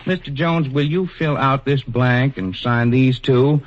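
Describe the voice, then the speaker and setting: An actor playing a patient, kindly bureaucrat in 1940s radio drama, measured and officious with small hesitations. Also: male voice, medium pitch, lightly acted. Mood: patient, official. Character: patient, kindly, bureaucratic male superintendent of the afterlife. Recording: dull, husky old radio recording.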